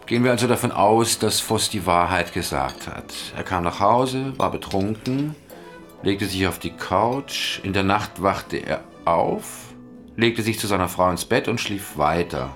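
A man's voice: deep voice, measured pace, fairly bright tone, soft background music. A man acting in a German radio drama as a level-headed private investigator who reasons aloud methodically. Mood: thoughtful, analytical.

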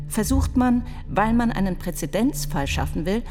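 A woman's voice: mid-range voice, lively intonation, moderate pace, big-room sound, close to an everyday voice. An actress playing an indignant, outspoken woman defence lawyer in a radio play, with a blunt, sardonic and emphatic manner. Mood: exasperated, explaining. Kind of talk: radio play dramatization of a court report. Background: music.

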